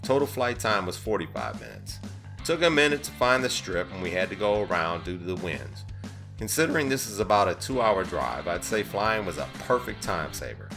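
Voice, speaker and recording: A man's voice: low-pitched, sing-song, normal speed, gravelly, a bit bright. A man reading scripted travel vlog narration as a voice-over. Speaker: vlogger narrator. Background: plucked guitar music.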